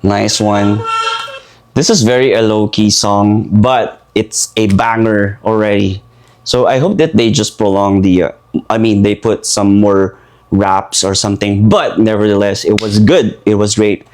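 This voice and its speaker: A man's voice: fairly low pitch, fairly even intonation, unhurried, a little mellow. A young man from the Philippines hosting a casual music video reaction, chatty and rambling with lots of fillers and restarts. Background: music playing.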